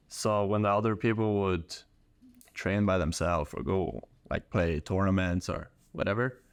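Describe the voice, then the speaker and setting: A young man speaks in a low voice with a dry, close sound. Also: dry recording. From Norway. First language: Norwegian. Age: young adult. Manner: informal, slangy. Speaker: podcast guest, college soccer player. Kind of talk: casual interview chat.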